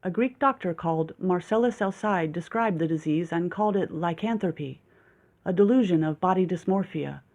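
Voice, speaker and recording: Brief: male narrator playing a bright, eager young woman explaining legends, pitched up. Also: dull audio. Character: excited young woman, knowledgeable wife.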